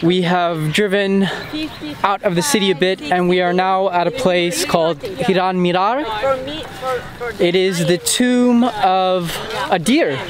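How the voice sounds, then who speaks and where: An enthusiastic young man talks straight to a handheld camera at arm's length, his voice sitting a touch high for a man. He is outdoors at a busy site, and a clear crowd murmurs behind him. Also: steady tone, takes it slowly. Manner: enthusiastic, easygoing. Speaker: travel vlogger. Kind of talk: casual vlog talk to camera. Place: outdoors at historic sites, park.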